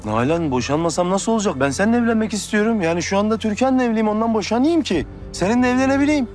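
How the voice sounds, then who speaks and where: A man's voice: sits high, animated, rapid-fire, a little mellow, soft background music. An actor in his thirties playing a brash, insistent suitor in a television drama, pushy and emphatic in a colloquial way. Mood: proudly insistent. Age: 30s. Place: outdoors on a city street.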